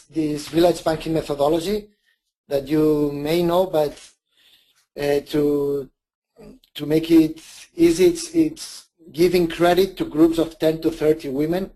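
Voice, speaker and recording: A man speaking English with a Spanish accent, his voice pitched a little high but mellow and soft-edged. He presents in a halting way, often restarting and correcting himself as he searches for words. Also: low-quality recording. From Spain. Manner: hesitant, many restarts and self-corrections. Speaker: webinar presenter. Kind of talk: live webinar presentation.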